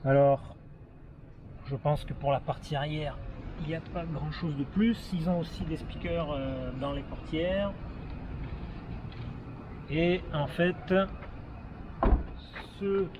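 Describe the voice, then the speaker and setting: A Québécois man in his thirties talks casually and haltingly, slipping in frequent fillers as he walks through a car's features. His voice sits a little high for a man. Clear outdoor traffic noise runs behind him on a handheld camera recording. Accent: Québécois French. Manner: hesitant, frequent "euh" fillers and restarts, explaining features step by step. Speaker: travel vlogger. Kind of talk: casual walkaround of a new car. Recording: noisy outdoor ambience with vehicle sounds.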